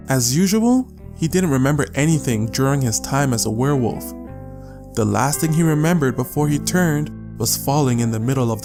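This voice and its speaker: A man narrating a scripted audiobook story as the narrator. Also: medium pitch. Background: guitar music.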